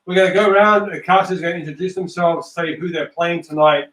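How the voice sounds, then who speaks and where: A man's voice: high-pitched, fairly even intonation, a little fast, fairly bright tone. A man acting as host and narrator of a play reading, moving between casual talk and scripted stage directions. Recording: roomy, distant microphone.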